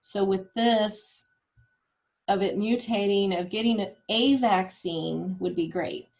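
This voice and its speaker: A woman, explaining data in a casual, measured way on a group call. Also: medium pitch, darkish tone. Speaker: nurse presenting health data.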